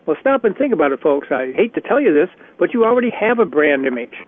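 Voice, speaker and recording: A man with a slightly raspy voice leading a career workshop on a conference call, chatty and encouraging with frequent restarts. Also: fairly high pitch, very animated, fast talker. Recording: tinny phone line.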